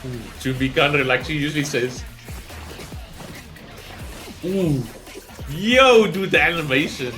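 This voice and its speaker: A man's voice: a little high, animated, slow pace, pauses often, sharp tone, faint music behind. A man hosting a gaming reaction video, talking casually off the cuff, thinking aloud and often checking himself with a tagged-on question. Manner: rambling, thinks aloud, often tags sentences with "right?".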